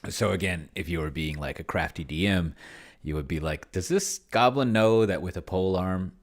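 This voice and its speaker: A man's voice: deep voice, normal speed. A man doing casual screen-share commentary on a tabletop game, thinking aloud and muttering to himself in a rambling way. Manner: rambling, muttering to himself, reads out text and what he types. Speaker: solo tabletop game player and streamer.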